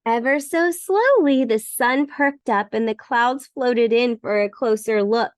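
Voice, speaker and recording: A woman reading a bedtime story for children in an expressive, emphatic way. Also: on the high side, a little slow, no echo, studio quality. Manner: expressive, emphatic with repeated words. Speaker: storybook reader.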